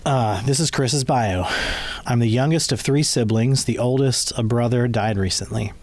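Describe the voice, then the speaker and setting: A man's voice: medium pitch, normal speed, darkish tone. A man in his forties in a personal recorded conversation, partly reading aloud. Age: 40s. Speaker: conversation participant.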